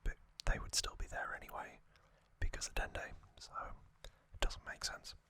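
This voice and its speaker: An ASMR host whispering an unhurried, unscripted-sounding explainer in a breathy, unvoiced whisper, pausing often as they go. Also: bright, forceful tone.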